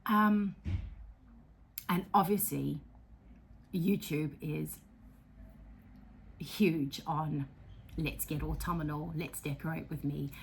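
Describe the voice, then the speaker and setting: A middle-aged South African woman hosting a casual vlog, chatty and self-deprecating, dropping into soft whispery asides. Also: deep voice, fairly even intonation, slow, gravelly, long pauses, a little hiss or hum. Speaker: psychotherapist and channel host. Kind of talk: casual talking-to-camera vlog. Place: her counselling room.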